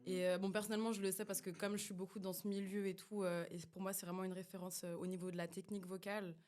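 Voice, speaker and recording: A woman's voice: mid-range voice, level tone, fast talker, darkish tone. A woman who is an R&B singer and podcast guest, speaking in a casual, slangy, hesitant way.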